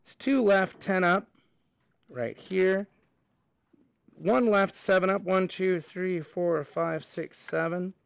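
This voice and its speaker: A man with a Texan accent teaches a math class, his voice sitting high for a man. He jokes with the students between problems. The sound is dry and close, with no room echo. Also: takes it slowly, gravelly, pauses often, clean tone. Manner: chatty, joking asides to students. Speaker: middle school math teacher. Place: school classroom.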